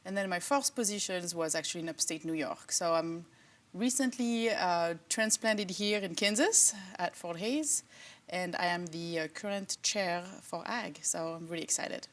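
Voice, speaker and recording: A middle-aged woman from France, a professor answering interview questions in a relaxed, thoughtful way with many fillers. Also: fairly low pitch, normal speed. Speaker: agriculture professor and department chair.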